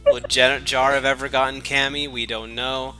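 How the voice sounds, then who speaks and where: A man's voice: mid-range voice, flat delivery, moderate pace, loud music behind the voice. A man doing a mocking dramatic reading with sweary, joking commentary and a mock-dramatic manner.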